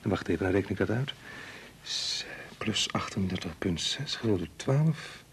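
An actor playing a brusque, absorbed research scientist in a Dutch radio play, with a dry manner. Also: male voice, low voice, expressive, moderate pace, creaky, breathy edge, exaggerated voice. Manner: dry, brusque, mutters figures to himself.

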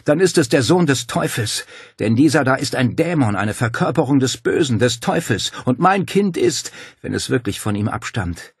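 A man voicing an outraged, defiant young woman with sharp, scornful delivery in an audiobook drama. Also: mid-range voice, expressive, moderate pace, slightly rough, no echo, studio quality, pitched above the performer's own voice. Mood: bitter, defiant.

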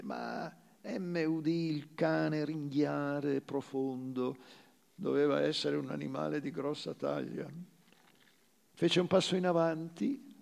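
A man giving a theatrical reading of literary fiction, with measured, deliberate phrasing and dramatic pauses. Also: fairly high pitch, gravelly. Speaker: actor reading a short story.

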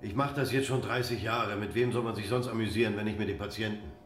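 Actor as a cynical orderly, low quick voice. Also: male voice, natural voice. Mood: callous. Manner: callous, sneering. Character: cynical, cruel veteran male hospital orderly. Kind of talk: German radio drama acting. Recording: echoey room with low hum.

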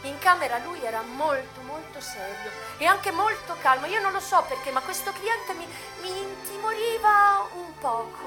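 A worldly American madam looking back on her youth in a radio play. Her voice is high and theatrical, wistful and passionate, with dramatic pauses and emotional swells. Music plays clearly behind her. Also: animated. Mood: intimate, storytelling. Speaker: actress performing a solo radio play.

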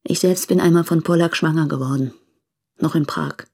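A woman's voice: low-pitched, moderate pace, darkish tone, slightly rough. An actress playing a frank, reproachful woman challenging her hesitant lover in a literary radio drama, intense and unsparing. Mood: frank, accusing.

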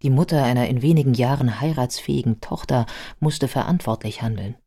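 A man's voice: mid-range voice, fairly quick, dark tone. A man narrating scripted biography in a radio play in a matter-of-fact manner.

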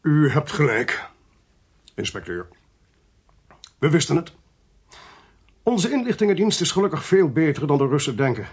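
A male actor in a Dutch radio play, voicing a cold, smooth-talking, ruthless intelligence official in a measured, condescending way. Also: fairly high pitch, big pitch swings. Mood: confiding, grave.

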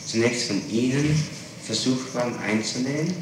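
A man's voice: medium pitch, moderate pace. A middle-aged man questioning someone as a prosecutor in a formal, precise and probing way, on an old tape recording. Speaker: public prosecutor questioning a suspect. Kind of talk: formal interrogation. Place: reverberant room, far from the microphone. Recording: echoey, distant, noisy archival tape recording.

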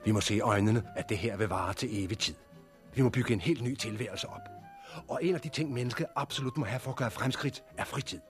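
An actor playing a blunt, forceful, foul-mouthed leader of disaster survivors in a 1960s Danish radio drama, with a gruff, persuasive way of speaking. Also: male voice, medium pitch, soft background music, lightly acted. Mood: urgent, persuasive.

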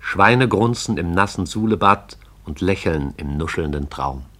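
A man narrating a radio drama in a measured, lyrical style. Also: deep voice, animated, fairly bright tone.

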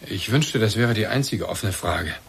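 An actor playing a stern, suspicious bereaved husband in a German radio drama, insistent and clipped, in standard German. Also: male voice, fairly low pitch, expressive, moderate pace, gravelly, fairly bright tone, a little hiss or hum.

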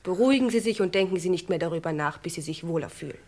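A woman playing a brisk, motherly hospital nurse in a radio crime play, strict yet soothing and no-nonsense. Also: fairly low pitch, darkish tone, a little hiss or hum, natural voice. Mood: firm, soothing.

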